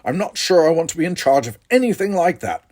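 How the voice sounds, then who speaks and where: A man's voice: fairly high pitch, sing-song, fast talker, a little mellow, voice pushed up. A man voicing an earnest, frustrated young son. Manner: earnest, tired, pleading. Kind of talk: audiobook character voice.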